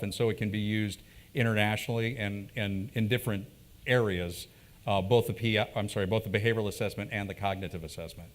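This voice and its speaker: A man with a fairly low voice co-presenting at a conference. He talks animatedly to the crowd, often restarting and repeating words mid-thought. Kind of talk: conference talk answering audience questions.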